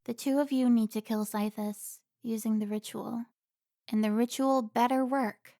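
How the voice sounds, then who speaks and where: A woman plays a stern female vampire hunter from an audio drama. Her voice is on the high side with a gravelly creak, recorded dry and close. She is protective, commanding and quick to scold. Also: fairly even intonation, dark tone, no room sound, natural voice. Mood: solemn. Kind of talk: cinematic audio roleplay acting.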